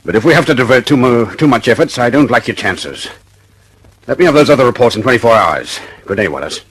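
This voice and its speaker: An actor playing a brusque, impatient, powerful British official in a radio drama, with a husky voice and a barking, commanding manner. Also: male voice, medium pitch, expressive, fast, lightly acted, rough recording. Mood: gruff, businesslike. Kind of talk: mid-century radio drama acting.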